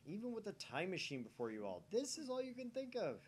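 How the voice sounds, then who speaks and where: A man's voice: high voice, wildly swooping pitch, normal speed, a little hiss or hum, voice pushed up. Man putting on a bombastic young mad scientist. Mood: scornful. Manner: theatrical, commanding, maniacal. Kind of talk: fan read-aloud of visual novel dialogue.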